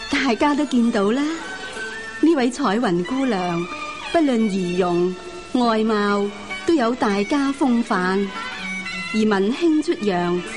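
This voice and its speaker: A woman in a radio drama playing a composed, dutiful, formal middle-aged wife. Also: fairly high pitch. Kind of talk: Cantonese radio drama acting. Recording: radio broadcast with music underneath.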